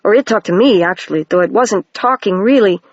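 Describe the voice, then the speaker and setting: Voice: mid-range voice, a little fast, dry recording, lightly acted. A shy, earnest young wizard boy, voiced by a single reader. He talks with a touch more lift than the reader's plain voice. The recording is dry and somewhat dull, like a home setup.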